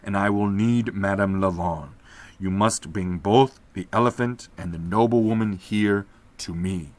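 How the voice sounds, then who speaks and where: A man's voice: on the low side, measured pace, a little mellow, lightly acted. A man voicing a desperate, ragged old magician locked in a cell, speaking in a pleading, brooding way.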